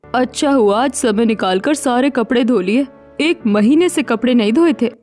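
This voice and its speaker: Young female flatmate, easygoing, cartoon story role acted by a woman, high pitch, light music underneath. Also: normal speed, natural voice. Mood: relieved. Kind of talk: animated story dialogue.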